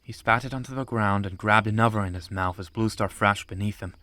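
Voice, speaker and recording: A man's voice: fairly low pitch, brisk, bright, forceful tone, no room sound. A man reading scripted audiobook narration of an animal adventure story.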